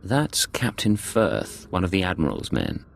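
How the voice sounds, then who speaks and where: An actor playing a cool, self-assured secret agent in a video game, clipped and composed. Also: male voice, deep voice, huge pitch swings, measured pace, gravelly, a little hiss or hum, exaggerated voice. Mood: resolute.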